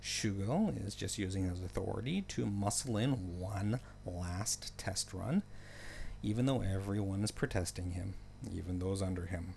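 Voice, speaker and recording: A man with a low, very creaky voice narrates a story recap. His delivery is casual and conversational, peppered with wry asides. A faint hum sits behind the recording. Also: measured pace, darkish tone. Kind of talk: casual, loosely scripted story recap commentary.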